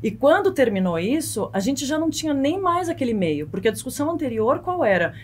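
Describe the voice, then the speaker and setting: Brazilian woman explaining, rumbly background noise. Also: very animated, fast talker. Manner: explanatory, peppered with tag questions like "né" and "tá". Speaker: guest legal expert. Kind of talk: conversational expert explanation on a podcast. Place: small foam-padded podcast studio. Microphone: close studio mic on boom arm.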